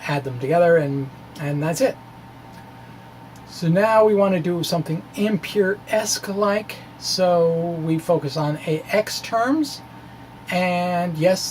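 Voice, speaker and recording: Man explaining physics informally, noisy recording. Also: high-pitched. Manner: hesitant, halting, conversational asides. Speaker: physics blogger presenting derivations.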